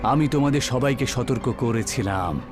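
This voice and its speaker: A man voicing a menacing, vengeful young ghost in a horror cartoon, speaking in a slow, deliberate, threatening way. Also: medium pitch, over music, lightly acted.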